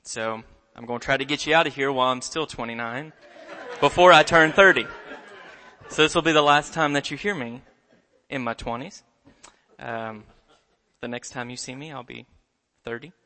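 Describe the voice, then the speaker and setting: A young man preaching from the pulpit, his voice gravelly and bright, easygoing and joking with the congregation. The church's long reverb trails after every phrase. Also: medium pitch, normal speed, some chatter behind, slight background noise. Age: 20s. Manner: conversational, self-deprecating humor. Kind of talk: sermon. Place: church sanctuary.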